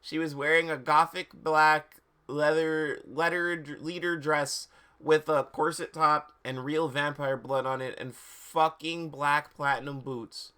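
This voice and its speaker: A man's voice: on the high side, fairly even intonation, slow pace, sharp tone, clear, resonant tone. A man streaming a live dramatic reading of fan fiction, with mock seriousness, stumbles over words and amused asides.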